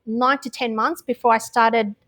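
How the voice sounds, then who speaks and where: A woman's voice: fairly high pitch, fairly even intonation, moderate pace, fairly bright tone. An Australian woman talking openly about her life, with frequent ums and restarts. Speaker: interviewee. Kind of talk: casual interview answers.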